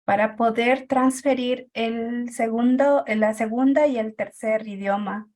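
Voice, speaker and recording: A woman whose first language is Quichua, a teacher speaking about her own experience in a group discussion, hesitant with many pauses and filler sounds. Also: on the high side, fairly even intonation, darkish tone. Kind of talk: personal account in a group discussion.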